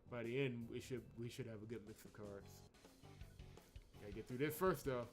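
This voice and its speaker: A man's voice: mid-range voice, normal speed, faint music behind. A man commenting on a blind video game playthrough, thinking aloud as he works out the puzzles. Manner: thinks out loud, mutters through puzzles. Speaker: let's play host.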